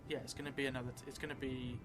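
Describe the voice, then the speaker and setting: A man's voice: medium pitch, little pitch movement. Musing man over prominent background music. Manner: halting, musing, trailing off as he writes. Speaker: solo tabletop roleplay streamer. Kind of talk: thinking aloud while narrating a solo journaling game. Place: indoor theatre room, seated at a table.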